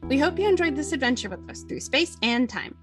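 A woman's voice: high voice, sing-song, a little fast, over music. A woman co-hosting a podcast in a casual, chatty style. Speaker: podcast co-host and producer. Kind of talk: casual podcast chat and sign-off.

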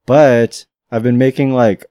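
A young man from the Philippines chatting casually in a slangy, flat monotone way, ranking his favorite fruits. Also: mid-range voice, a little slow, no room sound, studio quality. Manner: laid-back slangy talk, frequent swearing.

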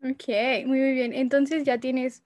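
A woman with a slightly high voice hosts a relaxed interview, friendly and given to light laughter. Her sound is close and dry. She often repeats her words as she shapes a question. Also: takes it slowly, no echo. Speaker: interviewer and host. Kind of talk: casual interview.

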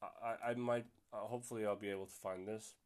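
A man's voice: lowish voice, fairly even intonation, fast, a little mellow. Rambling young male podcast host. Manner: rambling, swears casually, many fillers. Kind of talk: casual solo podcast chat.

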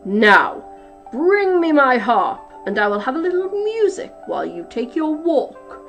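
Booming slow menacing giant, a woman reading puts him on, lower than her own voice, faint music under it. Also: very animated, fairly bright tone, lo-fi sound, heavily acted. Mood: commanding. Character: huge roaring man-eating male giant.

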